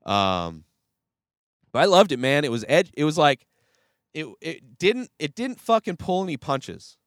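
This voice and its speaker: A man's voice: fairly high pitch, very animated, a little slow, a bit bright, no room sound, clean studio sound. A man hosting his own movie review podcast, talking casually with a stuffy, nasal voice from a cold, rambling and swearing with lots of fillers and restarts.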